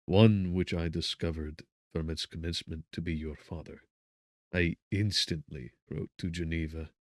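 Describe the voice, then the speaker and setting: Guy doing formal old-fashioned magistrate for an audiobook, very low voice, earnest, dry close sound. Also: darkish tone, no room sound. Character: benevolent, grave male magistrate, sometimes severe. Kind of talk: audiobook character acting.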